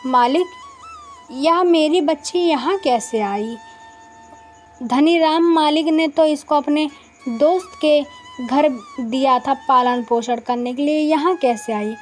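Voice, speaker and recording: A poor, trusting village potter played by a woman speaks with earnest deference in a high voice. The storybook line has music behind it. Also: takes it slowly. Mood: shocked, betrayed. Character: humble, trusting poor widowed male potter.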